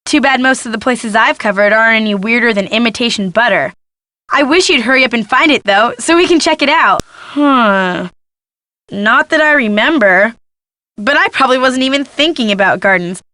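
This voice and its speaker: A sassy young American schemer in a video game. The voice is high and bright, very dry and close, with a bratty, slangy, taunting edge. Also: female voice, expressive, normal speed, no room sound. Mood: chatty, sassy. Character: bratty young female photojournalist, scheming greedy diamond thief. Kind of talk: video game character acting.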